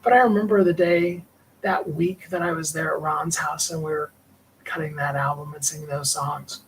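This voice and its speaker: A middle-aged man with a higher tenor voice, hosting a livestream and talking in a rambling, heartfelt way with small laughs. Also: fairly even intonation, normal speed, a little hiss or hum, poor audio. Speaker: livestream host and singer. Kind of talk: reflective personal livestream talk.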